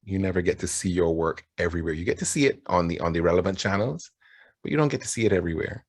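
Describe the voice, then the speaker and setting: A man's voice: low voice, animated, fast talker, husky. A middle-aged man with a Barbadian accent, telling personal stories on a panel in a candid, wry, conversational way. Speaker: panelist, advertising creative.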